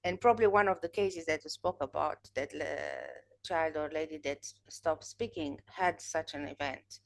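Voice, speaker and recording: Low-voiced woman hosting over video call. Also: moderate pace, gravelly. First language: Romanian. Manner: thoughtful, earnest, with frequent restarts. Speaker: podcast host. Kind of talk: casual interview chat. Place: home room, indoors. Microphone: video call webcam.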